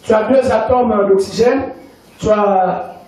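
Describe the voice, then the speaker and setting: High-voiced Congolese pastor preaching in a hall. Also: male voice, a little slow, dark tone. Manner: emphatic preaching with repetition and call-and-response. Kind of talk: sermon. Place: church hall. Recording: echoey hall, mic from far off, congregation audible. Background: congregation responding amen.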